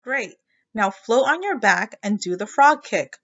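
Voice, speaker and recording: Woman playing a bright, coaxing mother frog. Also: fairly high pitch, expressive, unhurried, muffled recording. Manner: coaxing, instructing step by step. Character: encouraging, patient but firm adult mother frog.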